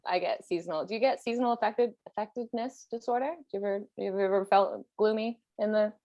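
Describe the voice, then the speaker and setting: Female podcast host, mid-pitched, dry sound. Casual, jokey, laughs readily. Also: warm tone, dry recording. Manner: joking, self-deprecating, quick to laugh. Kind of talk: casual chat.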